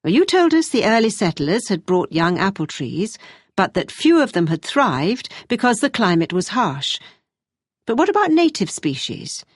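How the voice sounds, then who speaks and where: A woman acting as a brisk, encouraging but exacting British university tutor, giving measured, emphatic feedback in a scripted listening-test dialogue. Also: medium pitch, big pitch swings, no room sound, studio quality. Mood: engaged, probing.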